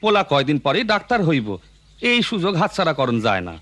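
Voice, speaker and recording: A man voicing a stubborn, anxious village father in a cartoon, insistent and expressive. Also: on the high side, quick pace, no room sound. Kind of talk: cartoon voice acting. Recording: background hum and bird noise.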